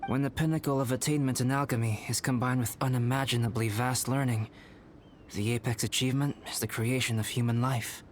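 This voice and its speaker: A man playing a calm, reserved young alchemist in a video game, speaking in a formal, measured, erudite way. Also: mid-range voice, noisy background, lightly acted. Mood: composed, thoughtful. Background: prominent background music.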